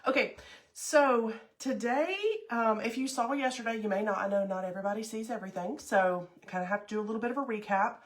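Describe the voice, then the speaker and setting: A woman's voice: mid-range voice, quick pace. A middle-aged woman, stuffy from a cold, chatting casually with viewers on a craft livestream. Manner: chatty, bubbly, greets viewers by name.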